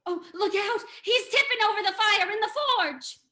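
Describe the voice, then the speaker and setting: A woman's voice: extremely high pitch, normal speed, pushed and bright. A woman playing a frightened young lady, the hero's companion, in a melodramatic old-time radio drama reading. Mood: panicked.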